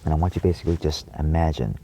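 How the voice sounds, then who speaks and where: A man giving an impassioned, indignant monologue to viewers, emphatic and repetitive, with a gravelly, husky voice. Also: a bass voice, very fast, dark tone, slight background noise. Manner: frequent swearing. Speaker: video creator addressing viewers.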